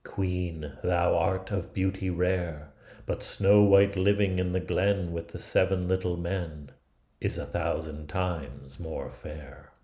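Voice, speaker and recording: Man as a low, solemn magic mirror. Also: fairly even intonation, mellow, reverberant. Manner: measured verse recital. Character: truthful magic looking glass, solemn, archaic rhyming.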